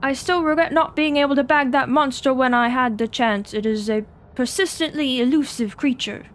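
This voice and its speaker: A woman voicing a pompous, blustering gentleman explorer who is really a sea slug, with an attempted British accent. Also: sits high, moderate pace, close to an everyday voice. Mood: boastful. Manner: pompous, declamatory, theatrical.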